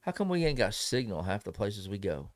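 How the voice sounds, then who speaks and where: A man hosting a casual podcast chat, laid-back and rambling, laughing often, his voice slightly raspy. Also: lowish voice, sing-song, a little fast, dry recording. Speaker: podcast host.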